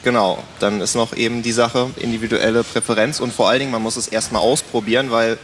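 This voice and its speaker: A man with a mid-pitched voice moderates an informal discussion, summing up points in short phrases. His voice carries long hall reverb over faint noise. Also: fairly even intonation, quick pace. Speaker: panel moderator.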